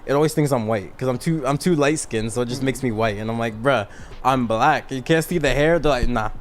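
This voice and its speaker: A man's voice: medium pitch, fairly quick, slight background noise. A young man chatting casually and slangily as a podcast co-host.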